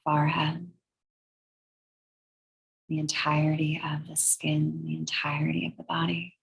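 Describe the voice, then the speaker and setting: A woman leading a guided meditation in a soothing, measured, breathy way, leaving long pauses between phrases. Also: low-pitched, monotone, rough recording. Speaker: guided meditation and yoga teacher.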